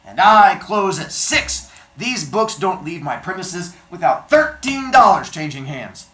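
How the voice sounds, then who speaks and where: A reader voicing a gruff older male bookshop owner with a thick accent, shouting and haggling over the phone. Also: medium pitch, sing-song, very fast, echoey room, faint music behind, voice pushed up, close to an everyday voice.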